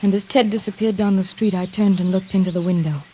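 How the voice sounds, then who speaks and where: An actress playing an anxious, trapped daughter in a 1940s suspense radio drama, tense and dramatic, halting when flustered. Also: female voice, mid-range voice, fairly even intonation, dark tone, a little hiss or hum, close to an everyday voice, low-quality recording. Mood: weary, haunted. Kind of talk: 1940s radio acting, first-person suspense narration. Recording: vintage radio drama with music behind.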